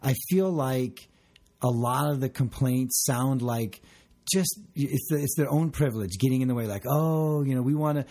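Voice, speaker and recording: A man co-hosting a discussion, talking passionately and emphatically, with a loud voice. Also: medium pitch, normal speed. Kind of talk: casual discussion.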